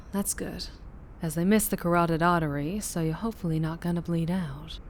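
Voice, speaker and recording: A woman's voice: fairly low pitch, fairly even intonation, normal speed, a little hiss or hum, lightly acted. A woman playing a fierce, flirtatious vampire biker with a Southern US accent, teasing and protective in an intimate ASMR roleplay. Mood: concerned, protective.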